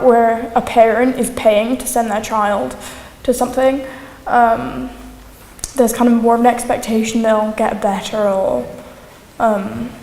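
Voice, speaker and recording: A woman's voice: fairly high pitch, a little mellow. A woman chatting casually in an interview as an amateur performer, phrasing her thoughts loosely and hedging as she goes. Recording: echoey hall with background noise.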